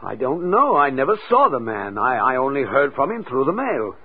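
A thin vintage radio recording holds a suspicious, reluctant underworld go-between. His husky voice rises and falls a good deal. He is wary and hesitant, in forties crime-drama style. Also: mid-range voice, big pitch swings, normal speed. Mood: reluctant, uneasy. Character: guarded, nervous male go-between, reluctant and suspicious. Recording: tinny, band-limited old radio recording.

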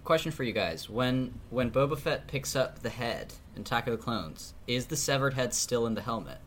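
A man hosts a podcast with a bright, forceful voice that swings widely in pitch. He is animated and quizzical, with faint room noise in the background. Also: normal speed. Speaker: podcast host. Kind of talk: casual podcast chat.

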